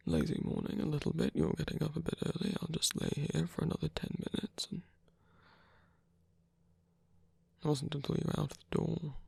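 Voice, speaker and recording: A performer of unclear sex voicing a soft-spoken, weary, tender villain lover in a romantic ASMR roleplay, breathy and often whispery, with a hesitant, gently wry delivery. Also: mid-range voice, flat delivery, fast, no room sound, full character voice. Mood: apologetic, wry.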